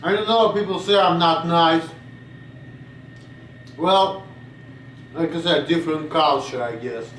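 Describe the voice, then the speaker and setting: A man's voice: a little high, unhurried, pauses often, a bit bright, echoey room, distant mic, slight background noise. A man in his late twenties from Russia, with a Russian accent, rambling bluntly in a casual vlog and swearing now and then. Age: 20s. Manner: blunt, swearing, drifts off topic. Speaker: vlogger.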